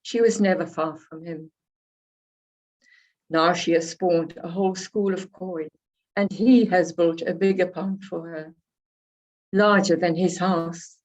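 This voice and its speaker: A slightly hoarse woman reads her poetry aloud, taking her time and pausing between phrases. Her voice sits a little low and moves at a very slow, measured pace. The recording sounds dull and lo-fi. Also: fairly even intonation, darkish tone. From South Africa. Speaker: poet. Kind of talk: poetry reading with spoken introductions.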